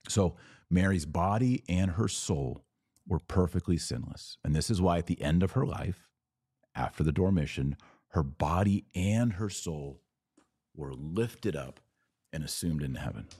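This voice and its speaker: A man's voice: deep voice, animated, a little slow, a little mellow, husky, dry recording, studio quality. An American man hosting a religious podcast, talking informally to online viewers in an emphatic way with repeated phrases and rhetorical questions.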